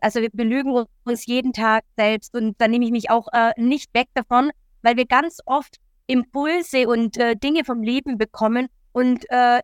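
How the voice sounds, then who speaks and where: A woman from Italy, a coach, talking as a guest in an earnest, lively way with many fillers. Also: on the high side, clean tone, dry recording, studio quality. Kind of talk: interview talk.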